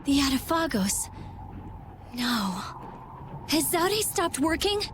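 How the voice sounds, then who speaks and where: A brainy, prickly teenage girl mage in a video game. Her high voice is brisk and moves up and down a lot. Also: sing-song, noisy background, heavily acted. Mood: worried.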